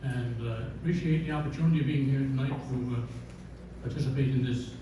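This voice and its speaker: Older Newfoundland candidate, stumbling delivery, recorded from across a noisy, echoing room. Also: male voice, mid-range voice, fairly even intonation, distant mic. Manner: halting, repeats words. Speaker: council candidate. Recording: echoey hall recorded from afar.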